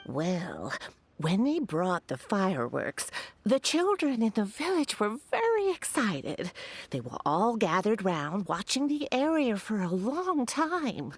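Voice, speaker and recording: Slow, swooping old village granny. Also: female voice, mid-range voice, huge pitch swings, slightly rough, full character voice. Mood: recollecting. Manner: halting, wavering, ponderous. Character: elderly village granny, worried, respectful, slightly forgetful. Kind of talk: video game character acting.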